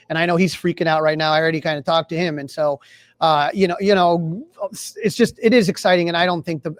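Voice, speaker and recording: A man co-hosting a sports podcast, giving opinionated, emphatic fan commentary in casual talk. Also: high voice.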